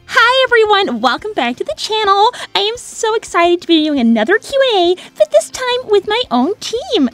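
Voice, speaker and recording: A woman voicing an excitable young female cartoon hedgehog with a peppy, dramatic, girlish manner that turns sarcastic when put out. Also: very high-pitched, normal speed, few pauses, no echo, soft background music, exaggerated voice. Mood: bubbly. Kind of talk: animated character Q&A, fan dub.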